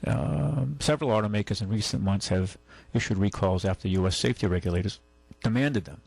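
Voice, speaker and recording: A man hosting a call-in radio show, talking casually and haltingly, rambling as he goes and reading out items. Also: lowish voice, gravelly, darkish tone, dry recording. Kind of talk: casual talk radio, reading news and chatting. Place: radio studio. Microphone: close studio microphone.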